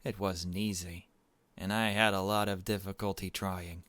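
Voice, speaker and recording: A man's voice: low voice, little pitch movement, normal speed, a bit bright, dry recording. A man reading a visual novel aloud as a gaming video host, dropping in casual asides of his own. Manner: casual self-commentary between read passages.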